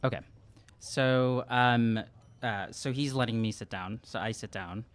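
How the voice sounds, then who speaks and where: A man's voice: mid-range voice, a little slow, clean tone. A young man playing a tabletop roleplaying game, casual and hesitant as he thinks aloud, on a close studio mic. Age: young adult. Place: small indoor studio room. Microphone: close studio podcast mic with windscreen.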